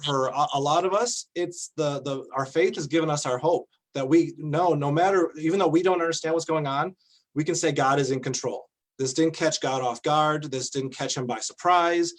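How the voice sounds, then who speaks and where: A man, a pastor, speaking thoughtfully on a video call panel, often restarting his sentences. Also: mid-range voice.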